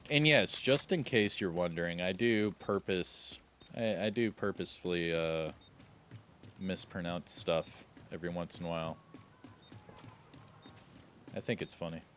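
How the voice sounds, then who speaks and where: A man giving casual, joking gameplay commentary with a slightly raspy voice, relaxed but breaking into excited outbursts. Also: low-pitched, a little slow, pauses often, slight background noise.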